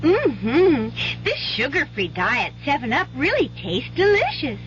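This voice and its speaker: An actress playing Goldilocks, a prim, cheerful young taste-tester with cutesy, precise sales patter in a 1970s radio commercial. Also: female voice, high voice, huge pitch swings, measured pace, a little hiss or hum, exaggerated voice. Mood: perky, pleased. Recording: dull 1970s AM radio broadcast.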